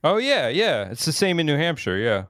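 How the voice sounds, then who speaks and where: A man's voice: a little high, big pitch swings, normal speed, a bit bright, no room sound, clean studio sound. An American man chatting casually as an interview guest, with an informal, slangy way of talking. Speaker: interview guest, record label owner. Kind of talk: casual chat.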